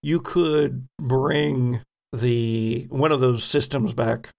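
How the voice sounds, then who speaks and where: A man working as game master, narrating events and rules in a halting, think-aloud way. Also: medium pitch, steady tone, gravelly, darkish tone. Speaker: game master of a tabletop role-playing podcast. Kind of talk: improvised game narration, explaining rules and outcomes.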